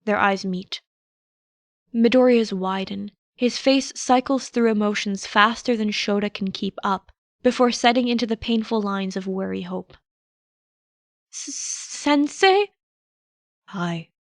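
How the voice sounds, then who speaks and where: A woman's voice: mid-range voice, fairly even intonation, normal speed, no room sound, clean studio sound. A woman narrating fiction and sharing her reflective thoughts on it as a podfic reader.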